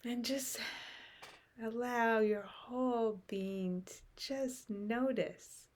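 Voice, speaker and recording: A woman leading a guided meditation in short, unhurried phrases with long pauses, softly trailing into breath at the ends. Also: a little high, fairly even intonation. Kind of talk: guided meditation, unscripted and contemplative.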